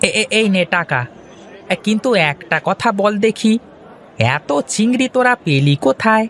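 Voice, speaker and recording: Cartoon fish trader, shrewd and bossy, high lively voice, as a man acts him. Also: moderate pace, slight background noise. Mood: haggling. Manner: haggling, bossy. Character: shrewd middle-aged male fish trader. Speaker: fish merchant. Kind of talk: Bengali cartoon voice acting.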